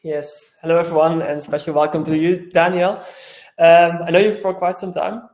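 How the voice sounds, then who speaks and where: A man co-hosting a webinar, introducing the guest in a halting, repetitive way. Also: a little high, level tone, a little mellow.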